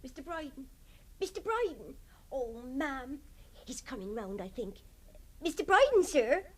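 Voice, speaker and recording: High-voiced, fussing servant woman. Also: very animated, a little slow, a little hiss or hum. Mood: alarmed, relieved. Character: anxious, deferential female servant. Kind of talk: radio drama acting.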